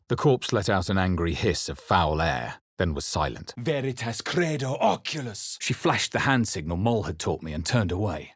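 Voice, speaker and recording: A brooding fantasy hero for a video game, with a very low, husky voice. The delivery is solemn, measured and theatrical, yet it moves widely in pitch. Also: male voice, dry recording, studio quality. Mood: forceful incanting. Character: male fantasy adventurer hero, brooding and grave. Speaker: video game player character. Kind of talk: dramatic fantasy game narration, barks and spell incantations.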